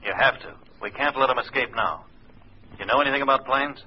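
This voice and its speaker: A man's voice: mid-range voice, a little fast, close to an everyday voice. Clipped, businesslike radio FBI man actor. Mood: firm, dry. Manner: clipped, matter-of-fact. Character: brisk, businesslike male FBI handler on telephone. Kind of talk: 1950s radio drama acting. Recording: muffled, faintly noisy old radio recording.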